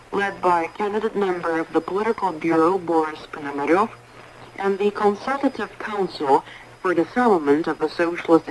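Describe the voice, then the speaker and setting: Noisy shortwave radio, low-voiced female newsreader. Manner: measured, impersonal delivery. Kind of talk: formal scripted international radio news bulletin.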